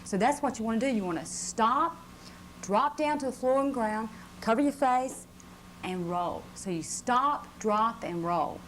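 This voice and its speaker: A woman's voice: a little high, animated, a little slow, a bit bright. A woman presenting a fire safety lesson to children, patiently repeating points and checking with questions. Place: live puppet show before children. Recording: noisy live room on VHS tape.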